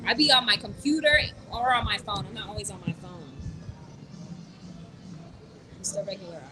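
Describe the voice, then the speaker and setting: A woman's voice: mid-range voice, normal speed, bright, forceful tone, soft background music. A young American woman chatting casually on a phone live stream, blunt and outspoken, answering her chat. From United States. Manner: chatty and blunt, mimics others in quotes, swears. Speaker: vlogger reading live chat. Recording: noisy with passing vehicles.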